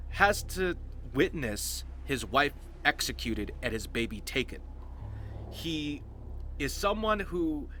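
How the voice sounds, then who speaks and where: A man's voice: mid-range voice, bright, forceful tone, a little hiss or hum. A man giving casual, explanatory game commentary in an informal, emphatic manner, as host of a roleplay series.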